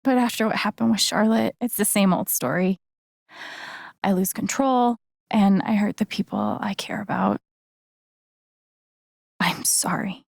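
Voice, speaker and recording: A woman playing a sincere, gently wry young game heroine, with an American accent and an understated, conversational delivery. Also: mid-range voice, sing-song, measured pace, gravelly, long pauses, no echo, clean studio sound, full character voice. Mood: regretful, shaken. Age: young adult. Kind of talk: naturalistic video game voice acting.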